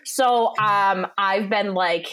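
A woman's voice: medium pitch, slow, fairly bright tone, clear, resonant tone. A young woman with a Southern American accent, co-hosting a casual chat podcast; she rambles, restarts and laughs often, and sounds keyed up. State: wound-up, nervous energy. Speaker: podcast co-host.

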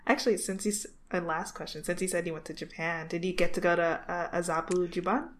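A woman's voice: on the low side, steady tone, fast talker. A woman hosting a podcast, enthusiastic and upbeat, who laughs often as she chats with her guest. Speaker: podcast host. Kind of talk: casual podcast interview.

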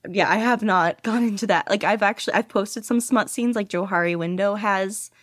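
A woman's voice: medium pitch, normal speed, no room sound, clean studio sound. A woman guest chatting casually in an interview, rambling with lots of fillers and frequent giggles. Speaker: podfic reader and guest.

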